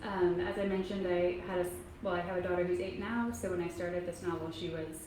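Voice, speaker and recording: A woman from Kentucky in her thirties, an author speaking softly and haltingly to a library audience, with many pauses and ums. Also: lowish voice, steady tone. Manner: soft-spoken, halting, frequent ums. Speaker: author giving a library talk. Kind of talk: casual talk to an audience. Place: public library meeting room. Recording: echoey room, faint noise.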